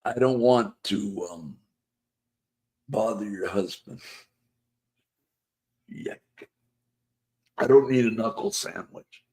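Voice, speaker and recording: Hoarse man chatting slowly on a live show. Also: fairly low pitch, warm tone. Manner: rambling, chatty, reads viewer comments aloud. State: losing his voice. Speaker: host. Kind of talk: casual live chat.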